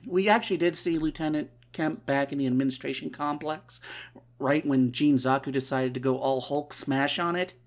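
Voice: medium pitch, moderate pace. A podcast host with a stuffy, nasal voice, recapping a story in a casual way with wry, sarcastic asides. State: stuffy nose.

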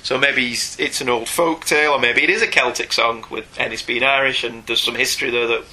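A British man with a very bright, forceful voice talks through a review as a podcast co-host. His delivery is conversational and opinionated, with a wry edge. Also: medium pitch, fairly even intonation, fairly quick, low-quality recording. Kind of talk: conversational comic book review.

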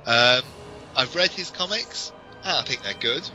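British male podcaster, very bright and crisp-edged delivery, enthusiastic explainer, music underneath. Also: medium pitch, lively intonation, measured pace, low-quality recording. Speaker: podcast co-host. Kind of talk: enthusiastic informal podcast commentary.

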